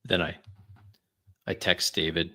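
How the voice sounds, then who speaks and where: A man's voice: sits low, flat delivery, unhurried, bright, forceful tone. A man narrating his actions in a tabletop roleplay, improvising in terse, hesitant first-person phrases.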